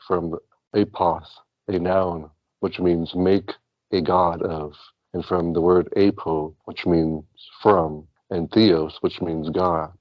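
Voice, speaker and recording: Male talk show guest, deep register, earnest and rambling, on dull low-fidelity audio. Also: dry recording. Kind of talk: casual rambling talk about past lives and spirituality.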